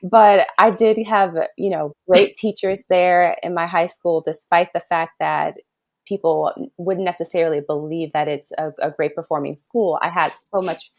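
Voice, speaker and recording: Soft-pitched woman doctor explaining. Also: steady tone, moderate pace. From Chicago south suburbs, US. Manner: thoughtful, earnest, laughs often. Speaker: guest, pediatric kidney doctor. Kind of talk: casual interview talk.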